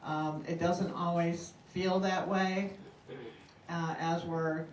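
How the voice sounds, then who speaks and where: Woman member, halting, filler-heavy report; far off in an echoey hall. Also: lowish voice, little pitch movement, slight background noise. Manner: rambling, halting, with many fillers. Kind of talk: casual spoken report. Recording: roomy hall, speaker far from mic.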